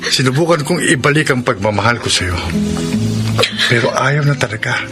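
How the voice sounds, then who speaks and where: A man acting a resigned lover coolly ending a relationship in a Filipino radio drama, firm and deliberate. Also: mid-range voice, lightly acted. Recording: loud music under the dialogue.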